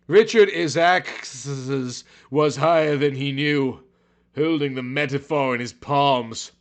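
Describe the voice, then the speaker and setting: A man's voice: medium pitch, fairly bright tone. Theatrical man narrating a brooding story. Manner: theatrical, brooding, overwrought delivery. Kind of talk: dramatic scripted narration.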